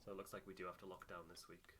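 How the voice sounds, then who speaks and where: Male gaming host, casual commentary, very bright sharp voice, muttered reactions, light noise behind. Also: sits low, little pitch movement, racing.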